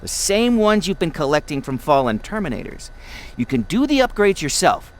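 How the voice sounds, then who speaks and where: A man's voice: on the high side, big pitch swings, normal speed. An actor playing a fussy, impatient male laboratory director in a video game, precise and pedantic. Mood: instructive.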